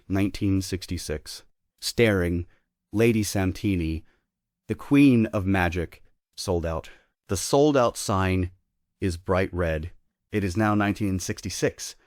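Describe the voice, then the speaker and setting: A man with a deep voice reads stage directions for a play. His scripted narration is recorded dry and close. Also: a little mellow, no room sound. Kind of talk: scripted narration at a table read.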